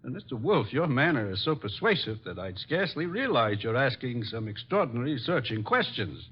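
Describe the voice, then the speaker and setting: An actor playing a polished, self-assured importer in an old radio drama, courteous and wordy. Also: male voice, medium pitch, sing-song, a little fast, close to an everyday voice. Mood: courteous, probing. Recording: noisy, dull old radio recording.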